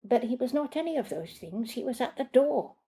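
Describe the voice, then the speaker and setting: A woman's voice: a little high, lively intonation, a little fast, mellow, husky. A woman voicing an earnest immigrant housemaid in a short story, telling of her ordeal in formal, careful phrases. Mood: grave, recounting.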